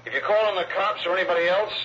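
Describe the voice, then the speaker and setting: An actor playing a tough, menacing kidnapper in an old radio crime drama, heard as a deliberate, threatening taped message. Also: male voice, high voice, sharp tone. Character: menacing, slangy male kidnapper giving ransom orders. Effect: played back through a tape machine. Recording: muffled, noisy taped message on vintage radio.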